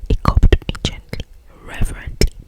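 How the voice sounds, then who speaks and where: An ASMR creator reading a romance novel aloud in a breathy whisper, with lingering phrasing and occasional asides. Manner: slow, lingering phrasing with repeated words and asides.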